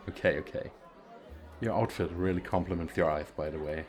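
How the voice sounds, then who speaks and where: A man playing a gentle, awkward single dad who flirts shyly and hesitantly in an ASMR roleplay. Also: deep voice, moderate pace, some chatter behind, natural voice. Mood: shy, amused. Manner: hesitant, self-deprecating, soft chuckles.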